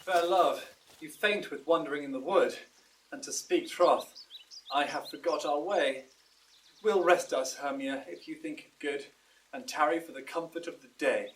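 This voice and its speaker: A man plays a courtly young lover in a Shakespearean audio drama. His fairly high voice is tender and gentle, set back from the mic with faint noise. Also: normal speed, distant mic, natural voice. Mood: tender, weary. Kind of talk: classical Shakespearean verse acting.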